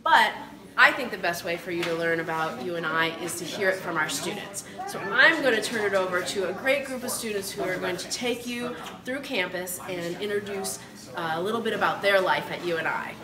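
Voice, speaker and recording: A woman's voice: mid-range voice, a little fast. Middle-aged woman in a roomy lobby. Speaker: university admissions director. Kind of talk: welcome address to camera. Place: indoor campus lobby. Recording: roomy, distant camera mic, background chatter.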